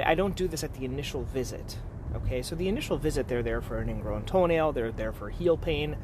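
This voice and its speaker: A man's voice: mid-range voice, background noise. A man talking informally as a podiatrist sharing practice advice with colleagues, in a conversational, explanatory manner. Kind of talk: informal talk to fellow professionals.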